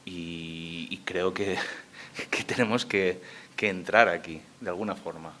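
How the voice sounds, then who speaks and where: A man speaking on a conference panel, measured and hesitant as he thinks aloud. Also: deep voice, bright, forceful tone. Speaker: panel speaker on memory policy.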